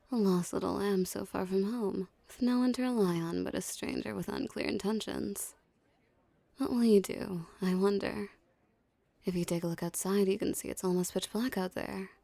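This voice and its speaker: A woman's voice: mid-range voice, warm tone, no room sound. A woman playing a dominant, teasing mafia boss in an ASMR roleplay, speaking with cool, condescending flirtation. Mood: seductive, taunting. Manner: smooth menacing flirtation, condescending, unhurried. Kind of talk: ASMR roleplay, scripted character acting.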